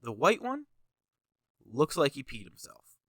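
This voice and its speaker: A man's voice: mid-range voice, wildly swooping pitch, unhurried, sharp tone, no echo, clean studio sound. A young man with a stuffy nose, talking casually and rambling with lots of fillers as he reviews his own jersey designs. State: stuffy nose, sniffling, tired. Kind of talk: casual commentary over design images.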